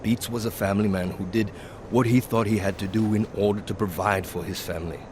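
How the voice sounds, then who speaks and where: An actor playing a blunt, wry, weary pilot with a philosophical streak in a video game cutscene. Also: male voice, fairly low pitch, fairly even intonation, brisk, background noise, close to an everyday voice. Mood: bitter reflection. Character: weary, philosophical male pilot with an old knee injury.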